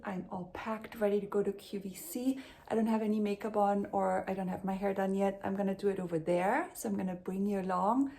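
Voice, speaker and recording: A middle-aged woman from Austria, a makeup artist chatting upbeat in a casual vlog and talking through her products. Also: mid-range voice. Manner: chatty and upbeat, with fillers like "you know". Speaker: makeup artist and beauty brand founder. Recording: distant phone mic with background noise.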